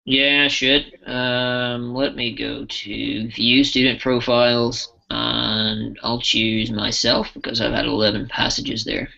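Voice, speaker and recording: Guy doing a casual software demo, bright gravelly voice, keeps restarting, dull lo-fi sound. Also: medium pitch. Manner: casual, loose, with frequent restarts. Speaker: software trainer. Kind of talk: live software demo walkthrough.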